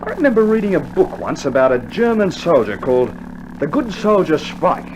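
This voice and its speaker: A man's voice: fairly high pitch, very animated, moderate pace, highs cut off. An actor playing a cheeky, skeptical young Australian infantryman with dry sarcastic banter, in a scripted mid-century radio drama. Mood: teasing, sardonic. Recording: noisy old vinyl radio drama recording.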